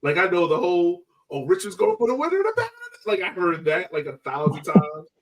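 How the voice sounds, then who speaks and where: A higher-voiced man hosts a casual sports fan podcast, sitting slightly back from the mic. He talks in excited slang, curses freely and repeats his phrases for emphasis. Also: a little fast, far from the mic, low-quality recording. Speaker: podcast host.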